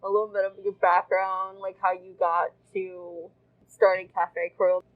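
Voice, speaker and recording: A woman's voice: medium pitch, flat delivery, normal speed, narrow, tinny audio. A woman hosting a casual interview and asking short, curious questions. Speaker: podcast host.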